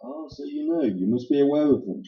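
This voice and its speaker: A man's voice: on the high side, wildly swooping pitch, brisk, gravelly, full character voice. A man playing a polite, slippery, menacing crook with formal manners in a detective radio play. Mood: guarded, probing. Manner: formal, courteous, insistent. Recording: dull, roomy stage broadcast sound.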